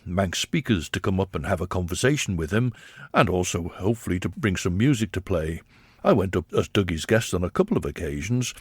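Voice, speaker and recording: An older man tells his story in a steady, reflective way. His voice sits low and deep. The sound is dry and close, with no room around it. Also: dry recording. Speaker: narrator. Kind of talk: reminiscing documentary narration.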